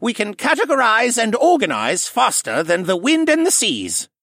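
A man's voice: sits high, huge pitch swings, moderate pace, vocal fry, a bit bright, husky, no room sound, studio quality, pitched above the performer's own voice, full character voice. A man voicing a tipsy old male koala butler with a slightly slushy, theatrical way of reciting.

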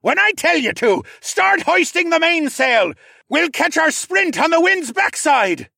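A wild raccoon sea captain, acted out by a male narrator in a fantasy audiobook. The voice is pushed high and forceful, bellowing orders with urgent authority. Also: sing-song, measured pace, vocal fry, no echo, clean studio sound, exaggerated voice. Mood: commanding. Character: commanding, wild old-salt raccoon pirate captain.

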